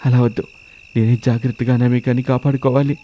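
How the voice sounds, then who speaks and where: A man's voice: mid-range voice, flat delivery, brisk, mellow, slight background noise, close to an everyday voice. A man playing a worried, devoted young husband talking earnestly to himself in a dramatised story. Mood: worried, resolute.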